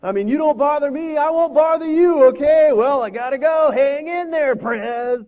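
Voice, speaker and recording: A man voicing a breezy, indifferent young man with a loud, slangy, stretched-out delivery. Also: extremely high pitch, moderate pace, narrow, tinny audio, voice pushed up.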